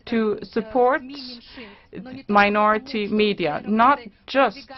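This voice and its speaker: A woman giving a simultaneous interpretation of a formal forum speech, measured, with some fillers and restarts. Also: medium pitch. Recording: dull lo-fi conference recording.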